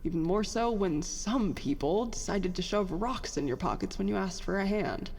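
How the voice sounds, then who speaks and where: An actress playing a bitter, self-doubting young petty officer in a halting, confessional monologue for a science fiction audio drama. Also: female voice, mid-range voice, animated, a little mellow, big-room sound, slight background noise, close to an everyday voice. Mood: frustrated, wistful. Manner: halting, conversational, self-deprecating, mildly profane.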